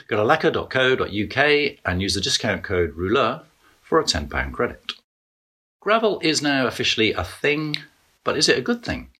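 Bright, husky, animated male podcast presenter. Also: on the low side. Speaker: podcast host. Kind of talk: scripted podcast introduction.

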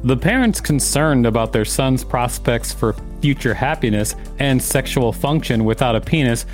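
Man co-hosting a podcast, medium pitch. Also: animated, moderate pace, soft background music. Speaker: podcast co-host. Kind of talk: reading an encyclopedia article aloud with commentary.

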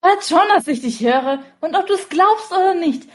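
Woman playing a sharp young policewoman. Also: extremely high pitch, lively intonation, moderate pace. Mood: irritated.

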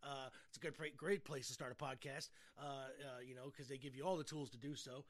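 A man's voice: medium pitch, steady tone, a little fast. A middle-aged American man hosting a podcast in casual, jokey, rambling chat. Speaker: podcast host.

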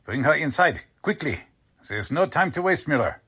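Imperious officer, mock-courteous menace, swooping intonation, rough-edged voice, old radio thriller style. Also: male voice, fairly high pitch, very animated, moderate pace, sharp tone, exaggerated voice. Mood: urgent. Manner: formal, imperious, mock-polite. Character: menacing, courteous male Nazi German army officer. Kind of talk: 1940s radio drama acting.

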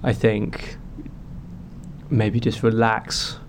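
A man's voice: fairly low pitch, completely flat delivery, drawn-out words, a little hiss or hum. A young man, a singer-songwriter, talking casually and rambling through stories with lots of fillers. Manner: rambling, filler-heavy storytelling. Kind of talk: casual chat.

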